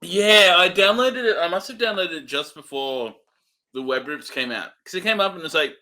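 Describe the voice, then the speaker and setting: A man's voice: high voice, moderate pace, sharp tone. A man co-hosting a film review show in casual chat, with an understated, dry way of putting things.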